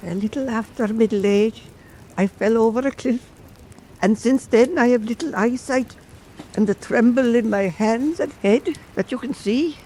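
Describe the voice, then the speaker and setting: A man's voice: very high voice, normal speed, dark tone, slight background noise. An actor playing a shaky, boastful old half-blind storyteller man in a radio drama. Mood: proud, reminiscing.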